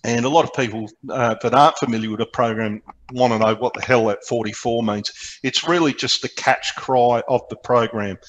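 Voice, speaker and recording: A man's voice: medium pitch, steady tone, moderate pace, few pauses, a bit bright, slight background noise, rough recording. An Australian man giving an informal, explanatory slide presentation over a video call, with frequent hesitations as he goes. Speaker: presenter, amateur radio programme coordinator. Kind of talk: slide presentation to a club meeting. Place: remote video meeting, speaking from indoors.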